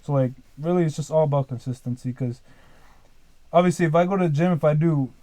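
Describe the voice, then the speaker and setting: Mellow-voiced young man, rambling casually. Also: on the high side, fast, no echo. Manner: rambling, leans heavily on "like" and "dude". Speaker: podcast guest, business owner. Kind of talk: casual podcast chat.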